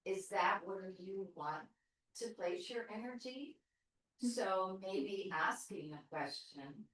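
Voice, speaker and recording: A woman's voice: mid-range voice, animated, slow, a bit bright, slight background noise. A woman taking part in a group discussion, speaking thoughtfully and hesitantly with restarts. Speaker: group participant. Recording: very echoey room from far off.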